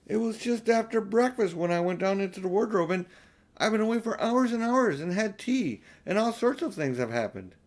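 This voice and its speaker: A man voicing an earnest, excitable little girl in a storybook, pleading and insisting with emphasis. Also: sits high, moderate pace, pitched above the performer's own voice. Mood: insistent.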